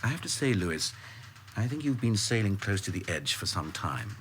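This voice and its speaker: An actor playing a sober, rule-bound senior civil servant, formal and deliberate, in a radio drama. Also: male voice, lowish voice, sing-song, a bit bright, slight background noise. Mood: reproving. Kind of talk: naturalistic radio drama acting.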